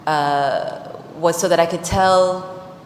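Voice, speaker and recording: Low-voiced woman. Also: fairly even intonation, takes it slowly. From Jamaica. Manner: open, personal, many fillers. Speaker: vlogger and DJ. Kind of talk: panel discussion. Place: large conference hall on stage. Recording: echoey hall with faint noise.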